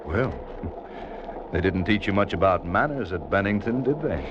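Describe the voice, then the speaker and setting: An actor playing a wry, cool American secret agent in a 1950s radio thriller, narrating and talking with a flippant, nonchalant air. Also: male voice, sits low, lively intonation, moderate pace, lo-fi sound, background noise. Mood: flippant, teasing. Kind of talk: 1950s radio thriller acting, first-person narration and dialogue.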